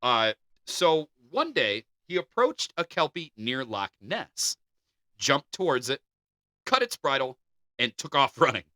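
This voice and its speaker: A man's voice: mid-range voice, unhurried, dry recording. A man co-hosting a podcast, reading folklore aloud and joking between the passages. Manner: animated, jokey. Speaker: podcast co-host.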